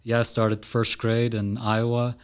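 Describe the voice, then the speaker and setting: A man's voice: mid-range voice, monotone, a little slow, clear, resonant tone. A man of about thirty from Iran, a podcast guest talking casually in a studio with lots of "uh" and "you know" fillers. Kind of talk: casual interview talk.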